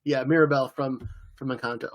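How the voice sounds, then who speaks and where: A man co-hosting a casual TV podcast, recapping the plot in a relaxed way with playful impressions. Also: medium pitch, a little fast. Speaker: podcast co-host. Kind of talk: casual chat about a TV episode.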